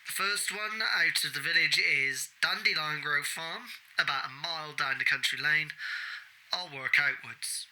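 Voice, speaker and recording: A woman playing a methodical investigator who dictates measured, matter-of-fact field notes in an audio drama. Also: deep voice, bright, forceful tone, exaggerated voice, poor audio. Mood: focused, matter-of-fact. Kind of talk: audio drama monologue as spoken log.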